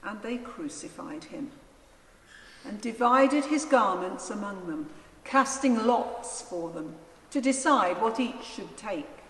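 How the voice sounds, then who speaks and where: A woman narrating a Gospel in a solemn, measured and deliberate reading style. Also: mid-range voice, lively intonation. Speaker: scripture reader, narrator. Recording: echoey church hall, faint noise.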